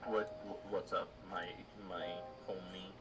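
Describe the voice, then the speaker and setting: A man with a bassy voice chatting casually as a player in online voice chat. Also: little pitch movement, unhurried. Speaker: player in a tabletop game stream. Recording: distant voice chat, loud music over it. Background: loud music.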